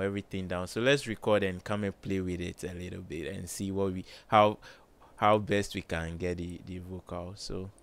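A man with a low voice, slowly thinking aloud with many fillers while demonstrating music plugins in a home studio. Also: fairly bright tone, smooth. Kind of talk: casual screen-recorded tutorial. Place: small home studio with colored lights.

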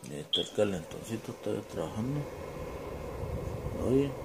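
A man with a Mexican Spanish accent giving a casual, unscripted demonstration, informal and halting as he searches for words and drops in English. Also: lowish voice, animated, vocal fry, heavy background noise. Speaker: home vlogger demonstrating gear.

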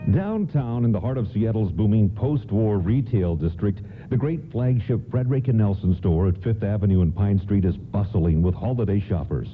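A man playing an earnest radio narrator and announcer, reading a scripted old-time radio play. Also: on the low side. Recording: tinny radio broadcast, faint noise.

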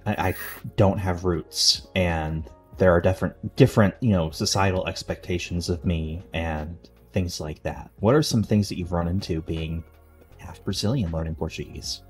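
A man in his forties hosting a podcast interview from a home office over a video call, asking curious, conversational questions into a headset mic. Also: deep voice. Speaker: podcast host. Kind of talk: casual interview.

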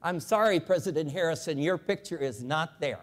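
A man in his seventies giving a lively, folksy, emphatic storytelling speech to a church convention, walking the floor with a lapel mic. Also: a little high, moderate pace, long reverb tail, background noise. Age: 70s. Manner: animated, folksy, emphatic repetition. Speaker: convention speaker. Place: large convention hall.

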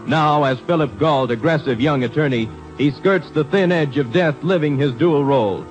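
A man's voice: a little high, moderate pace, poor audio. An American man announcing and narrating a 1950s radio drama in a dramatic scripted style. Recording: old radio broadcast recording. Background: music.

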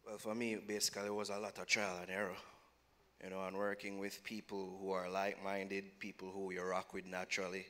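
A man answering as a panel guest on a conference stage, measured and full of "you know" fillers, using a handheld mic. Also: low voice, monotone, a bit bright. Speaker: panel guest, co-host of a show. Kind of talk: conversational panel talk.

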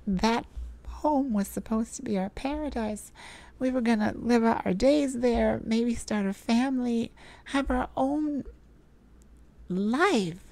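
A woman playing a tender, heartbroken but resolute mage in an emotional audio roleplay, speaking intimately and haltingly with a pleading manner. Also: on the high side, darkish tone. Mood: resigned, loving.

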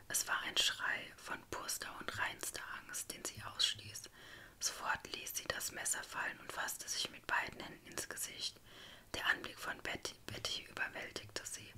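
Female narrator, whispered ASMR story reading, dramatic, very sharp and bright in tone. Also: unhurried.

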